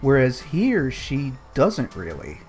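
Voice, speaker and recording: A man's voice: medium pitch, huge pitch swings, slow, a little mellow, soft background music. A man chatting casually as a panelist in a book club discussion, comparing parts of a story. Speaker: podcast panelist.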